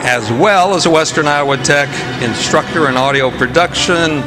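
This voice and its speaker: A man with a slightly raised voice formally announces the cast and crew of a live radio play from a theater stage, with a few ad-libbed asides. Loud music plays under this live recording. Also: a little high, moderate pace, poor audio. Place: historic theater stage before an audience.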